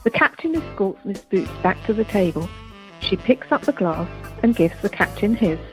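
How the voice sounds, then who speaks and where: A woman narrates scripted stage directions for a radio drama. Her pitch is middling, with faint music around it. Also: expressive, normal speed, poor audio.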